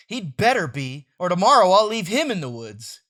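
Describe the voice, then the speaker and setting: A weary, grumbling dad, put on by a woman storyteller. The delivery is dry and reluctant, with a bright edge to the voice, as in a comic strip read aloud. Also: lowish voice, sing-song, a little slow, exaggerated voice. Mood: tired, dry.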